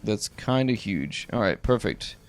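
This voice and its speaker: A man acting as game master for a tabletop game. His pitch moves around in a lively way as he narrates and checks rules, with faint guitar music playing behind him. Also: measured pace. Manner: hums and mutters while working out rules.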